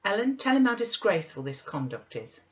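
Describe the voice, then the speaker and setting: A woman's voice: mid-range voice, big pitch swings, brisk, lo-fi sound, voice pushed up, lightly acted. A woman voicing a proud, impatient young lady who scolds sharply and scornfully in an audiobook reading. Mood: scornful, angry.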